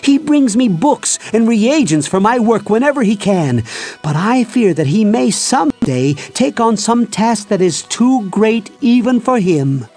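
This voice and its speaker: Village healer, game character: gentle, earnest, warm-toned, lilting. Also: male voice, high voice, very animated, a little slow, soft background music, heavily acted. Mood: reverent, worried. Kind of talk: video game character acting.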